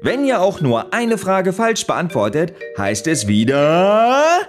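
Showy quizmaster, audio drama; slow, drawn-out theatrical delivery, wildly animated, music and audience behind. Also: male voice, sits high, exaggerated voice. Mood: brisk, showy. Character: showy, teasing male TV game show host. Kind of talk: game show presenting in an audio drama.

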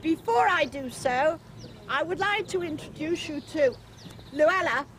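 A woman's voice: high voice, animated, unhurried, a bit bright. An older English woman in her seventies speaking informally and telling anecdotes in a chatty way. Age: older, 70s. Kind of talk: informal public address and reminiscence. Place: outdoors on a street among onlookers. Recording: noisy outdoor recording with crowd and ambience.

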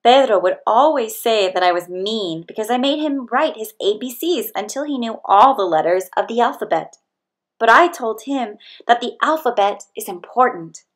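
Higher-voiced woman reading a children's storybook. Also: lively intonation, normal speed. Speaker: storybook reader. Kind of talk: scripted children's read-aloud narration.

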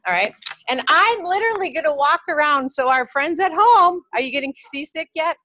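A middle-aged woman leading a seminary class, friendly and animated, speaking to a camera on the table in a large classroom. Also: high-pitched, a little fast, poor audio. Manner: friendly, animated, gestures with hands. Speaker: seminary instructor. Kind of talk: classroom teaching and prayer. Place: large classroom with drop ceiling. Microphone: camera on the table, below the speaker.

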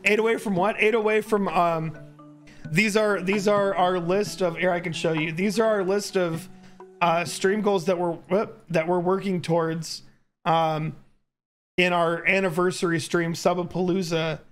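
Male streamer, early 30s, high hoarse voice, casual chat, close boom mic at home, music behind. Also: fairly even intonation, measured pace. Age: early thirties. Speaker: live streamer. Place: indoor home streaming room. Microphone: close boom-arm microphone.

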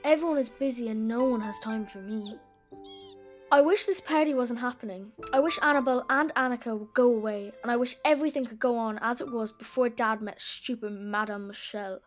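A woman's voice: a little high, fairly quick, soft background music, close to an everyday voice. An actress playing a wistful, lonely schoolgirl who is eager to help, in a children's audio story. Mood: sulky, sad.

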